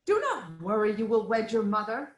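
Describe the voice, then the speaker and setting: An actress playing a regal queen, an anxious but firmly reassuring wife, in a formal, deliberate reading of Greek tragedy. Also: medium pitch, sing-song, echoey room, close to an everyday voice.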